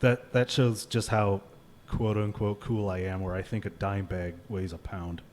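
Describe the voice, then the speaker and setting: A man's voice: lowish voice, little pitch movement, a little slow, darkish tone, slight background noise. A man co-hosting a live stream, chatting casually with self-mocking wisecracks. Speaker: stream co-host. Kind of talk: casual chat.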